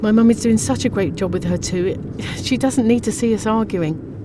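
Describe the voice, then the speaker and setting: A woman playing an exhausted, strained but loving mother in an audio drama, with clear background noise. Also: a little high, moderate pace, close to an everyday voice. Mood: weary, frustrated.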